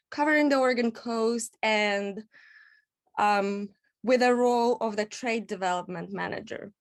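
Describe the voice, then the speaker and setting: A woman from Kazakhstan giving a measured presentation at a public panel, with frequent "um" pauses. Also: on the high side. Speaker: executive director, invited speaker.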